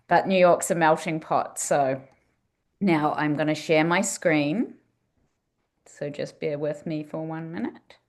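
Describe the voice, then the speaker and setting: A woman's voice: sits low, slow, pauses often. A woman from New Zealand with a New Zealand accent, presenting as a museum director in a friendly manner with frequent ums, over a video call. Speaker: museum director giving a talk.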